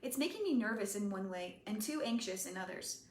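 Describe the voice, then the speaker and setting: A woman reading the part of a spirited, caring teenage girlfriend, teasing and unhurried, in an echoey room. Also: medium pitch, expressive, slight background noise, natural voice. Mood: discouraged. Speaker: reader.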